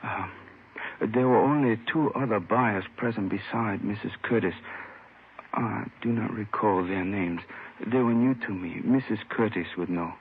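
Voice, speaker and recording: A tinny, slightly noisy old radio recording carries a low-voiced gentleman, an injured jade dealer. He is formal, polite and pained, picking his words carefully in vintage drama style. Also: measured pace. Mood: weary, polite. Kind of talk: 1950s radio drama acting.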